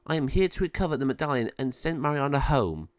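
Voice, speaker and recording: A man's voice: mid-range voice, animated, quick pace, no room sound, pitched above the performer's own voice, close to an everyday voice. A man voicing an earnest, protective sorceress who speaks firmly and urgently. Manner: firm, urgent. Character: earnest wise sorceress, protective elder sister.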